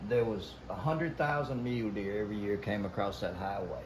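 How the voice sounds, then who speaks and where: A man's voice: lowish voice, brisk. Older Southern man spinning stories, noisy recording. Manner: folksy, emphatic, quotes past conversations. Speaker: guest telling hunting stories. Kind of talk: casual storytelling. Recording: noisy, roomy, somewhat distant pickup.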